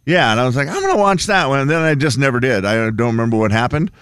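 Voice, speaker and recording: Lively teasing male radio host. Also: medium pitch, clear, resonant tone, dry recording, clean studio sound. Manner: playful, teasing, quick asides. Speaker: radio morning show host. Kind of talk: casual morning radio banter.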